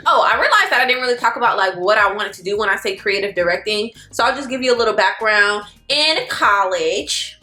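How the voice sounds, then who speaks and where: A woman's voice: mid-range voice, animated, fairly quick, few pauses, pushed and bright. A woman in her twenties from Florida, chatting casually and playfully to her viewers while getting ready, now and then slipping into song. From Florida, United States. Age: 20s. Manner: chatty, playful, drawls slang and sings lines. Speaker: vlogger. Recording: echoey room with faint music.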